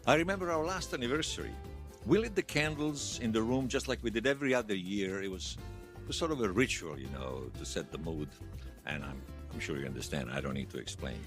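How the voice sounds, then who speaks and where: A man acting the part of a wistful, wry old hero in his seventies, reminiscing haltingly in a radio drama interview. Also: fairly low pitch, soft background music, close to an everyday voice. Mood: fond, sheepish. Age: 70s. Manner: halting, reflective, self-deprecating. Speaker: interview guest.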